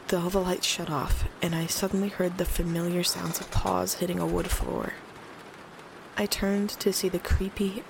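A woman's voice: fairly low pitch, gravelly, noisy background. A woman playing an uneasy late-night radio host in an audio drama, speaking in a hesitant, wry, conversational way.

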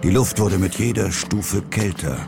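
Very deep, gravelly male narrator. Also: measured pace, over loud music. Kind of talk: suspenseful scripted audio drama narration.

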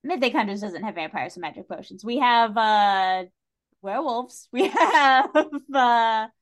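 A woman chatting casually as a podcast guest, with an animated, jokey way of talking. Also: a little high, unhurried. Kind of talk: casual chat.

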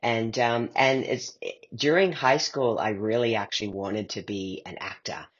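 A man's voice: on the low side, steady tone, a little slow, slightly rough, muffled recording. A middle-aged man answering as an interview guest, reflecting in a hesitant, meandering way as he thinks aloud. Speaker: guest, playwright and performer.

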